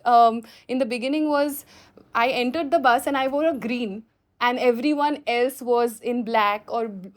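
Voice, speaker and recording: High-voiced Indian woman filmmaker. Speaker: filmmaker answering audience questions. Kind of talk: spontaneous question-and-answer talk.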